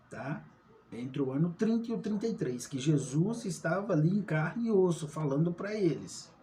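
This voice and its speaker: A man's voice: on the high side, warm tone, slight background noise. A man giving an informal Bible study, often asking rhetorical questions and checking in with his listeners. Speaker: online Bible teacher.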